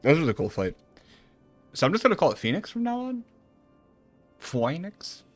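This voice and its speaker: A man's voice: fairly high pitch, wildly swooping pitch, normal speed. A man in his thirties streaming a game with casual, slangy, joking reactions and some dialogue read aloud. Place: small room at home. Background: game music.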